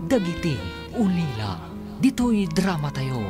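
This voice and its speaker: Male radio narrator, lively pitch, scripted, music behind. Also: measured pace. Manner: announcer-like, lilting. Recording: music bed under voice.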